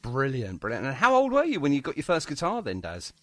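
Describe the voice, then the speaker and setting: Male radio interviewer, highish and very animated, effusive and chatty, dry studio sound. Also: quick pace, dry recording. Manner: effusive, complimentary. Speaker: radio show host.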